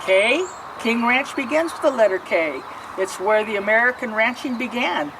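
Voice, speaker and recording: A woman reading a rhyming picture book aloud, pausing to explain the pictures. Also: a little high, expressive, recorded from a distance, background noise. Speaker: storybook reader.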